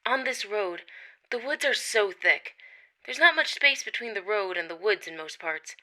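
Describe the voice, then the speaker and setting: A woman reading a first-person account of a strange encounter, dropping to hushed tones at tense moments. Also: mid-range voice, expressive, moderate pace, rough recording. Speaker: witness reading her account.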